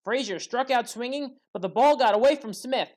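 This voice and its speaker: A woman reading scripted play-by-play commentary for a sports highlights recap in a matter-of-fact way that lifts on key moments. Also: moderate pace, darkish tone.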